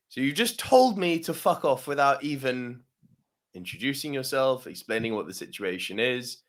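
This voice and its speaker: Casual male co-host, animated and profane storyteller, mid pitch. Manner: swears freely, laughs often, lots of yeah-tags. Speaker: podcast co-host.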